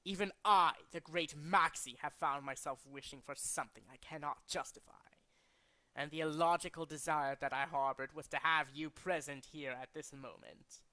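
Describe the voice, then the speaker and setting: A man's voice: a little high, steady tone, pushed and bright. A man voicing a condescending, cultured and fanatical villain leader from a video game, speaking in a formal, theatrical way. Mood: gloating, triumphant. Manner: formal, pompous, theatrical, deliberate.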